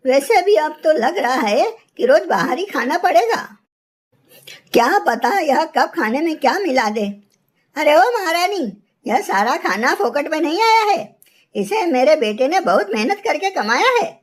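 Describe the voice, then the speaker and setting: Woman acting as bitter older crow mother-in-law, very high voice, harsh taunts, cartoon scolding. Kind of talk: Hindi cartoon story acting.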